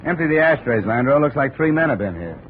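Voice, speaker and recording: An actor playing a hard-boiled, world-weary insurance investigator in a 1950s radio drama, terse, dry and commanding. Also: male voice, medium pitch, sing-song, fast, noisy background, natural voice. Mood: brisk, commanding. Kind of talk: 1950s radio detective acting and narration. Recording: muffled 1950 radio recording.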